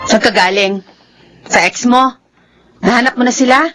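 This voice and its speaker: An actress with a Filipino accent playing a worried, sharp-tongued wife in a radio drama. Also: female voice, on the high side, moderate pace, natural voice. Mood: suspicious. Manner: suspicious and needling, then apologetic.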